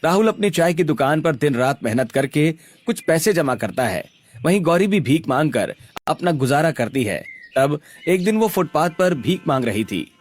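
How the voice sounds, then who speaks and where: A man's voice: on the high side, very animated, moderate pace. A man narrating a scripted story in a dramatic storytelling style.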